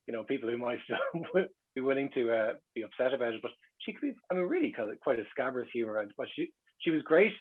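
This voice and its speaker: A man's voice: mid-range voice, husky. An Irish man casually reminiscing about family as an interview guest, chatty and often correcting himself. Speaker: guest, recalling his family. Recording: dull, lo-fi radio-like sound.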